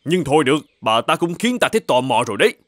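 A man's voice: a little high, very animated, quick pace. A man playing a stern, greedy old king with an imperious manner in a children's cartoon. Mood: haughty. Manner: imperious, commanding. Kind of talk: dubbed children's cartoon acting.

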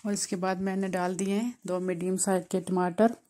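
Flat-voiced woman explaining a recipe. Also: mid-range voice, normal speed. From Pakistan. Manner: calm, explanatory, walks through each step. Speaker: home cooking channel host. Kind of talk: step-by-step cooking voice-over.

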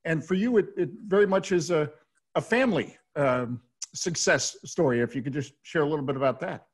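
A middle-aged American man hosting a question-and-answer interview over a video call, halting and repeating words as he goes. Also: on the high side, slightly rough. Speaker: host, radio play-by-play announcer. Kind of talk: hosted Q&A interview. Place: indoor room at home, on a video call.

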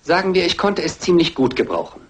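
A prickly, suspicious schemer in a crime radio drama. His voice is slightly high and moves up and down a great deal. He is curt and insinuating. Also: very animated, brisk. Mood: irritated, suspicious. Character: prickly, suspicious, scheming male art dealer. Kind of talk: German crime radio play acting.

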